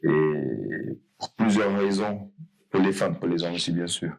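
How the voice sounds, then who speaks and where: A man's voice: sits low, unhurried, gravelly. A man hosting a podcast interview, talking loosely and rambling, often correcting himself. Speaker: podcast host.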